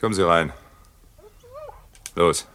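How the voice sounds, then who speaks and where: A man playing an imposing, gruff captain in a German radio crime drama, brusque and coolly menacing. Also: low voice, slow, sharp tone, a little hiss or hum, heavily acted. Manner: brusque, controlled, dry.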